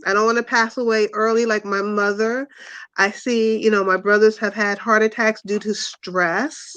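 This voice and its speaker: A woman's voice: mid-range voice, level tone, normal speed, fairly bright tone, smooth, no echo. A middle-aged American woman chatting casually and informally, often repeating phrases for emphasis. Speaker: panel discussion participant. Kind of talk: casual chat.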